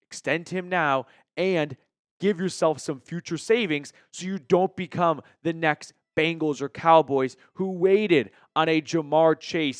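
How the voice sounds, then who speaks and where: Clear-voiced American male sports show host. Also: fairly high pitch, no room sound, studio quality. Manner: opinionated, argues point by point, emphatic. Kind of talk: conversational sports commentary to viewers.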